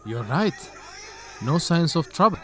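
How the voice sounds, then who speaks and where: An earnest, animated reporter's helper. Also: male voice, fairly high pitch, unhurried, faint music behind, heavily acted. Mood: earnest, thoughtful. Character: Khalid, earnest male assistant to a reporter. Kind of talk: English-teaching radio drama dialogue.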